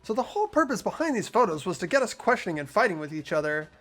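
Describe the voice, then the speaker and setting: The host of a friends' game playthrough, voicing the protagonist with steady deductive reading and laughing between lines. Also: mid-range voice, quick pace, soft background music. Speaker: host and lead voice actor of a playthrough. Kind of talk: narrating and voicing a game protagonist, casual chat.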